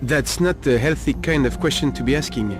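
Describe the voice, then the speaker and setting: An actor playing a sleazy, nervous Paris street informant in a video game cutscene, talking in a halting, insinuating way. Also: male voice, mid-range voice, over music, lightly acted. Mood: sly, insinuating.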